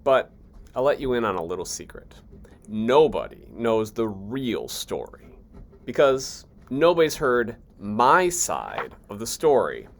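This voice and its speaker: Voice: mid-range voice, big pitch swings, measured pace, voice pushed down. A person voicing a sly, self-excusing storybook wolf with a folksy, mock-innocent, theatrical way of telling. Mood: self-justifying.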